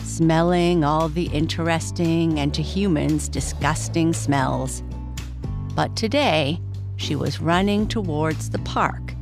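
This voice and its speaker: A woman's voice: deep voice, takes it slowly, creaky, music in the background. A woman reading a scripted bedtime story for children as its narrator.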